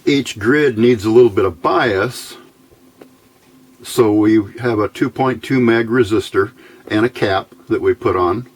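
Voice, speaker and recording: Slow-talking, low-voiced man explaining electronics. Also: slightly rough. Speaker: electronics hobbyist demonstrator. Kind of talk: informal hands-on technical explanation.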